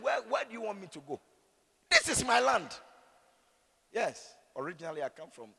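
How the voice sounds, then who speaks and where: A man with a Ghanaian accent and a raspy voice, preaching in an animated, conversational way full of rhetorical questions and repeated phrases. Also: mid-range voice. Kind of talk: sermon with conversational, opinionated asides. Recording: echoey hall with long reverb.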